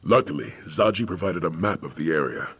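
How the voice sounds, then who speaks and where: A man voicing a firm, authoritative mission leader in a video game, measured and formal. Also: deep voice, animated, fairly bright tone, breathy edge, heavily acted. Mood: composed planning. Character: composed, authoritative male mission leader, patient but firm. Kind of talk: video game character dialogue.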